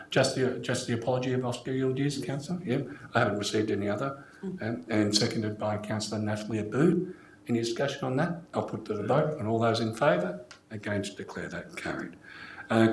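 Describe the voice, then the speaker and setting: An older man with an Australian accent, formally chairing a meeting as mayor in a measured, procedural manner. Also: medium pitch. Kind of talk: formal scripted meeting address. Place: indoor council chamber. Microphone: gooseneck desk microphone. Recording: echoey room, clear background noise.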